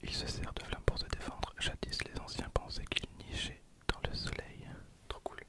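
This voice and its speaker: A man speaks in a breathy, unvoiced whisper, giving informal ASMR commentary. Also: moderate pace. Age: 20s. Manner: informal, murmured running commentary. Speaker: ASMR video creator. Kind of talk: whispered ASMR with casual commentary on trading cards.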